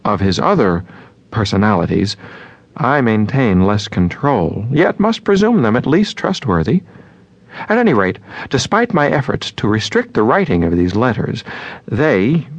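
A moody, over-romantic man voicing his letters in an audio drama. He is earnest and measured, taking his time over each phrase. His voice is gravelly and husky, captured a little muffled. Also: mid-range voice, very animated, no room sound. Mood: formal, composed.

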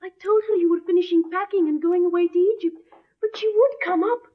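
A woman's voice: very high-pitched, steady tone, fast talker. An actress playing a naive, chatty young servant girl in a radio drama, artless and deferential. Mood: flustered, deferential. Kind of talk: early radio drama acting. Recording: tinny, band-limited old radio recording.

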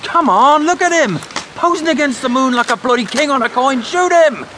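A nervous footman, sharp-tongued and exasperated. His voice sits very high and jumps around a lot. Loud storm noise roars behind him. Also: expressive, normal speed. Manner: anxious, exasperated, sarcastic.

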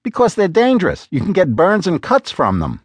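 Exasperated sharp-tongued woman partner, done by a man, raised pitch, sarcastic and scolding, swooping emphasis, dry studio sound. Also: huge pitch swings, moderate pace, slightly rough, dry recording, full character voice. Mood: insistent.

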